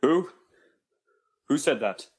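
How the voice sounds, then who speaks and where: A man's voice: mid-range voice, animated, takes it slowly, a little mellow, breathy edge. A man playing a proud but dejected Victorian inventor in a comic dub, speaking formally and theatrically. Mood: bewildered. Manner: formal, theatrical, self-pitying.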